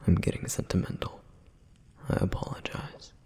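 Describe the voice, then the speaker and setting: A man whispering as a gentle flame demon. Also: moderate pace, natural voice. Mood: wistful whisper. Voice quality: soft whispered delivery. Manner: wistful, reflective storytelling. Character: dutiful protective male flame demon, gentle. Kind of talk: ASMR roleplay.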